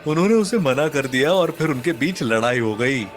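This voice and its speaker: A man's voice: mid-range voice, animated, normal speed, voice pushed up, natural voice. A man playing a teasing young male friend in a horror audio story. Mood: spooky boasting. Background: music.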